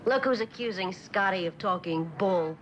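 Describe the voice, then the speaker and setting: Actress as poised American wife, noisy soundtrack. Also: female voice, medium pitch, moderate pace, dull audio, natural voice. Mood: needling. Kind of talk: 1960s television drama acting. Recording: noisy old TV film soundtrack.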